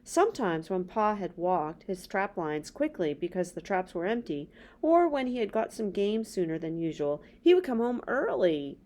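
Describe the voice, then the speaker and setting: A woman's voice: mid-range voice, sing-song, moderate pace, darkish tone. A middle-aged woman hosting a children's read-aloud, reading a story and adding casual asides. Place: small indoor room with bookshelves.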